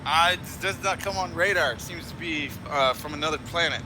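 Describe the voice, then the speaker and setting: A man's voice: a little high, fairly quick. A young man in casual voice chat, playing a joking air traffic controller who throws out random, silly lines. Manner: random, silly non sequiturs, mumbling. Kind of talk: goofy in-game voice chat.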